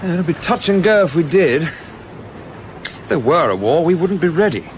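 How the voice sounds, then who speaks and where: A man playing a proper young English gentleman official in a radio play, clipped, polite and measured in manner. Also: on the high side, big pitch swings. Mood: thoughtful. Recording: clearly noisy ambience behind voice.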